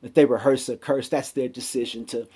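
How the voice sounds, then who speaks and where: A male spoken word poet with a mid-range voice recites his verses in a hip-hop cadence. His delivery is rhythmic and emphatic, set off by deliberate pauses between short phrases. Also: medium pitch, steady tone, dark tone, breathy edge. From United States city.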